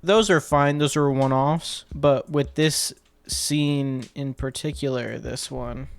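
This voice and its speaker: A man's voice: medium pitch, fairly even intonation, slow, gravelly, smooth. A man giving a casual, step-by-step commentary as a video editor breaking down his sound design work. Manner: informal, explains step by step. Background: music tracks and sound effects being demonstrated.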